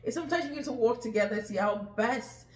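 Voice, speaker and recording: High-voiced man, echoey room. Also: fast. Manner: reflective, many fillers, agreeable. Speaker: podcast co-host. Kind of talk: casual podcast conversation. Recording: echoey room, faint background noise.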